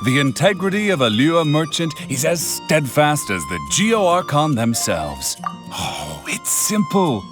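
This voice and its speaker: A man's voice: on the high side, very animated, a little slow. A man voicing a chipper, dubious travelling merchant in an anime video game, with theatrical sales patter. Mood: smooth persuasion. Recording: game music under the voice.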